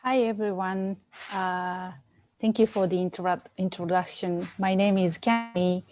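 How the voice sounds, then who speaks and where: A woman from Japan presenting her zines on a video call, speaking carefully and softly with pauses. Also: mid-range voice, steady tone. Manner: careful, soft-spoken, with pauses. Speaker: zine exhibitor.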